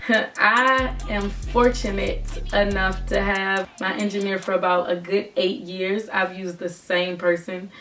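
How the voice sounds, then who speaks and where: A young woman speaks with a naturally raspy tone, sharing advice in an easygoing, earnest way. She sits a little back from the microphone. Soft music plays faintly underneath. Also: mid-range voice, distant mic. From Virginia, United States. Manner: casual, earnest advice talk. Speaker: rapper and vlogger.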